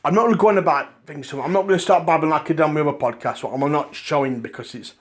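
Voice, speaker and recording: Liverpool man, podcast host, dry croaky voice, rambles and restarts sentences. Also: a little high, fast. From England.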